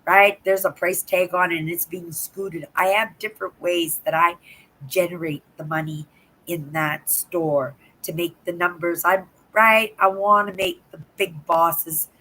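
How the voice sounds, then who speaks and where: An older woman from Canada hosting a livestream, chatting casually with viewers in a hoarse voice, rambling and tagging "right?" onto her sentences. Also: on the low side, fairly even intonation, unhurried, pushed and bright, poor audio. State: hoarse from a sore throat. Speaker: livestream host. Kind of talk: casual live chat to viewers.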